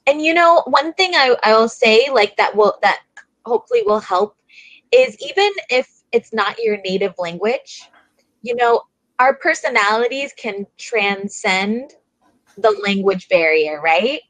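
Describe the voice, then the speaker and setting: A woman's voice: on the high side, animated, unhurried, a bit bright, no echo, low-quality recording. A woman teaching English on a video call, encouraging and chatty, often saying "like" and "right?". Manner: encouraging, filler-heavy with "like" and "right?". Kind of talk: encouraging tutoring chat.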